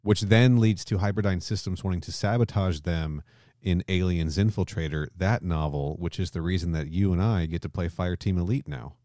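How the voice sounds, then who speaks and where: A man's voice: low-pitched, steady tone, brisk, mellow, dry recording, clean studio sound. A man co-hosting a podcast in a casual, jokey, bantering conversational style. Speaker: podcast host. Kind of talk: casual film and comic discussion chat.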